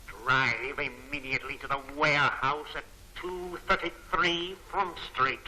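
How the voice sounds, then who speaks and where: An actor playing a stern, clipped crime-gang dispatcher giving orders over shortwave radio in a 1940s film serial. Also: male voice, fairly high pitch, steady tone, a little slow, crowd noise. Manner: clipped, authoritative. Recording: noisy old film, shortwave radio sound.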